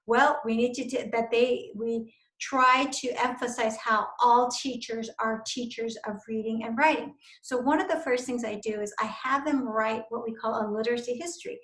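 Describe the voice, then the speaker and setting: Middle-aged woman, instructor, fairly high voice, encouraging lecture tone, roomy echo. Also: a bit bright. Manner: earnest, encouraging teaching tone with fillers. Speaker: university instructor presenting to teachers. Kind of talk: recorded lecture over slides. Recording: echoey room.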